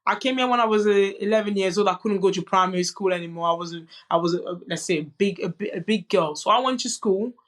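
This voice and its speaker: A woman's voice: mid-range voice, fast, hardly any pauses. Young woman, French native, earnest podcast guest, many restarts. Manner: earnest, many restarts and "you know" asides. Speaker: guest, boxer. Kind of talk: candid conversational storytelling.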